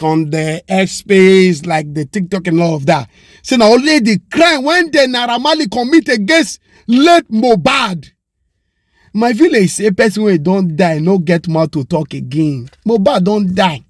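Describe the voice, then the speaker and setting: A man's voice: high-pitched, animated, unhurried, no echo, clean studio sound. A Nigerian man with a Pidgin English accent giving a passionate commentary, firing off rhetorical questions and slipping into Yoruba. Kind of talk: opinion commentary monologue.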